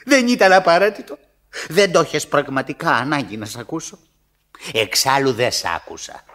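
An embittered old father in a theatrical radio monologue. His somewhat high voice moves through wide swings. The delivery is plaintive, halting and dramatic. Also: expressive, a bit bright. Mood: bitter, accusing.

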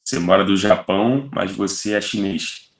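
A man's voice: deep voice, moderate pace. A man in his twenties with a Rio de Janeiro Brazilian accent, chatting patiently on a video call and repeating words back to help. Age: 20s. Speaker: video chat partner. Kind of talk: casual video chat. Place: room indoors. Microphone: webcam on a video call. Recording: echoey room.

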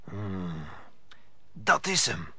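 A grave musketeer captain, speaking slowly and with authority. The voice is sharp and forceful, moving widely in pitch, in a radio play. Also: male voice, sing-song, slightly rough, no echo, full character voice. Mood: formal, probing. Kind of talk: audio drama acting.

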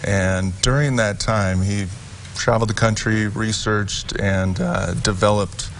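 Halting, low-voiced man guest. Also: fairly even intonation, slight background noise. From Utah. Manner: halting, many fillers and restarts. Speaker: company president, guest. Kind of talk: sponsored TV studio interview. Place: TV studio set, seated on a sofa. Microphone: lapel mic.

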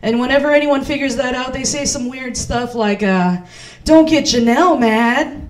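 A woman's voice: high-pitched, moderate pace. A woman in her thirties doing stand-up as host in a small comedy club, playful and self-mocking on a handheld mic.

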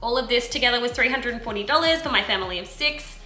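A woman's voice: fairly high pitch, expressive, fast talker, bright, forceful tone, soft background music. A young Australian woman chatting casually and rambling a little, filmed in her home kitchen by a camera on the counter. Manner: chatty, rambling with self-corrections. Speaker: vlogger. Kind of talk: casual grocery haul chat. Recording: echoey kitchen with background noise.

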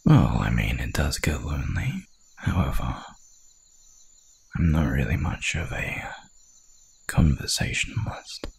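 A man voicing a hungry, dominant werewolf hunter in an ASMR roleplay, with a gravelly, breathy growl and a menacing, seductive drawl. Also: rumbling low, sing-song, measured pace, long pauses, heavily acted. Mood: mocking, sceptical.

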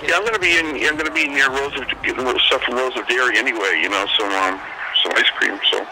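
A man's voice: mid-range voice, fast talker. A man talking casually on the phone as a small vendor answering a buyer. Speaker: ice cream truck seller. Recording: very noisy phone call.

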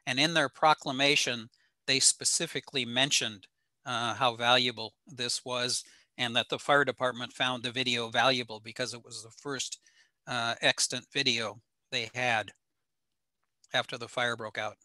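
An older American man giving an informal slide lecture in an explanatory, halting way with frequent pauses. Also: medium pitch, fairly even intonation, bright, forceful tone, no room sound. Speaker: presenter, ham radio operator. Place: small home room indoors.

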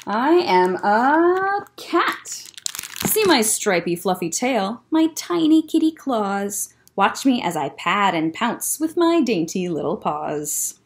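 A woman voicing a small, plucky, boastful male kitten in a children's picture book, with dramatic drawn-out delivery. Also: medium pitch, big pitch swings. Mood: nervous, polite.

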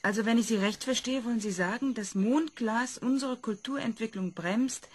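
A woman's voice: fairly high pitch, moderate pace, natural voice. An actress in a radio play voicing a skeptical woman who asks probing questions. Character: female inquisitive skeptic, polite and probing. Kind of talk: German radio play acting.

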